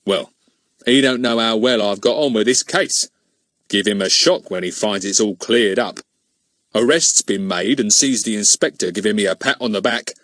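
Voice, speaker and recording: A man voicing a grumbling, vengeful village policeman in an audiobook, muttering smugly in rough grammar. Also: fairly low pitch, moderate pace, fairly bright tone, dry recording, lightly acted.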